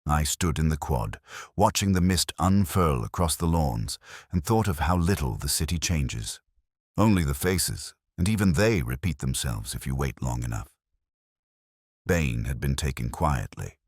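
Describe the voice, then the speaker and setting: A man acting a melancholy detective looking back on a case in a radio play. The voice is extremely low and swings noticeably in pitch as the measured, literary narration unfolds. It is recorded close and dry, with a solemn, reflective delivery. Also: breathy edge, no room sound, heavily acted. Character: weary, melancholy older male detective narrating his case. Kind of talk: scripted first-person dramatic narration.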